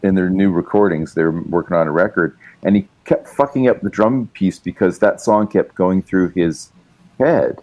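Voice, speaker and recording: A man's voice: deep voice, normal speed, warm tone. A male musician and writer, a guest telling long, rambling stories in casual chat, with many fillers and restarts. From Canada.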